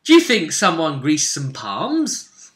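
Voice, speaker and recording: A man telling the story of a tabletop role-playing session as a host, in a casual, halting way, acting out bits of dialogue as he goes. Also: fairly high pitch, wildly swooping pitch, a bit bright.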